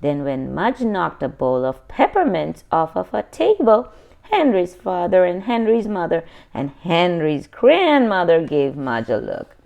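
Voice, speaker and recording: Slow, expressive woman reading a picture book. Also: on the low side, vocal fry, dull audio. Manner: expressive, simple explanatory asides to young listeners. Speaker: children's book reader. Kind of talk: read-aloud picture book narration with asides to children.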